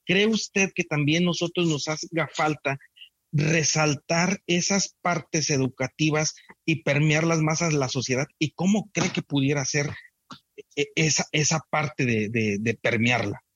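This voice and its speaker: A man hosts an interview with a husky, fairly high voice, asking long questions that loop back on themselves. The recording is dull and dry, with no room sound. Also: unhurried, fairly bright tone, dry recording. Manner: long rambling questions with repetitions. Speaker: interviewer host. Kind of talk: interview conversation.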